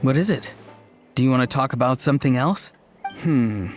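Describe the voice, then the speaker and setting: A man's voice: medium pitch, animated, normal speed, close to an everyday voice. An actor playing a laid-back young man in a game, speaking in an easygoing, casual way. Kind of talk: game dialogue. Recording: faint game music behind.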